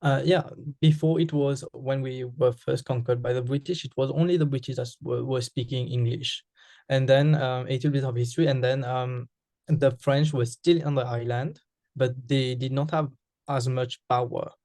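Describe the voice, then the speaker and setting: Mauritian man, flat hesitant answers. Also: medium pitch, normal speed, no room sound. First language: Mauritian Creole. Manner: hesitant, many fillers and restarts. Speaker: interviewee. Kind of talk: casual interview answers.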